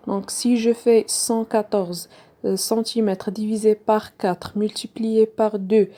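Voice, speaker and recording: A woman's voice: mid-range voice, mellow. A woman who is not a native French speaker, giving a step-by-step sewing tutorial in a hesitant way, with many fillers and restarts. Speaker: sewing instructor.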